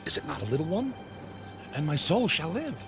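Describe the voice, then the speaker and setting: An anxious man pleading for mercy in a biblical audio drama. His voice is high and bright, swinging widely as he implores, over a musical score. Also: sing-song, fairly quick, faint music behind. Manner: imploring, emphatic. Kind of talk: dramatized audio Bible acting.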